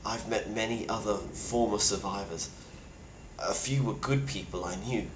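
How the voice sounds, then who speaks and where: A man playing a grim, weary plague survivor, speaking gravely and bluntly in a halting video-diary monologue. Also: lowish voice, close to an everyday voice. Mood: resigned. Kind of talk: in-character monologue, found-footage video diary. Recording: roomy echo with clear background noise.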